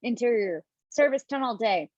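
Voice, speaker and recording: A woman's voice: fairly high pitch, animated, moderate pace, darkish tone, no echo. A woman reading the stage directions at a video-call table read, now and then laughing at her own slips. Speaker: script reader. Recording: dull lo-fi home mic.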